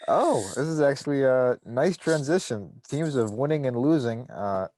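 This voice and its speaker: A young man co-hosting a casual sports podcast, easygoing and quick to laugh, running through stats loosely. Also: medium pitch, expressive, darkish tone, no room sound, low-quality recording. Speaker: podcast co-host.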